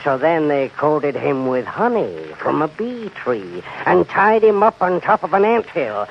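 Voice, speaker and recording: A man's voice: a little high, very animated, measured pace, gravelly, heavily acted. A man playing a folksy, wry frontier lawman with a rustic drawl and a commanding way, in an old-time western radio drama. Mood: wry, menacing. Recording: dull, faintly noisy old radio recording.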